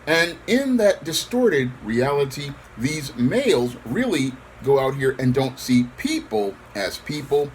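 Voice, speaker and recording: A man's voice: mid-range voice, very animated, measured pace, hardly any pauses, a little hiss or hum, poor audio. A man giving solo opinion commentary on news, speaking emphatically and repeating his phrases.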